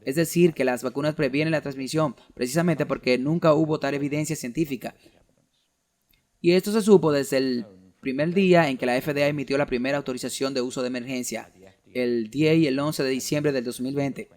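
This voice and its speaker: A man's voice: mid-range voice, fairly quick, darkish tone. A man giving a sermon-style lecture in an earnest, argumentative way, reading out quoted documents as he makes his case. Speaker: preacher giving a talk.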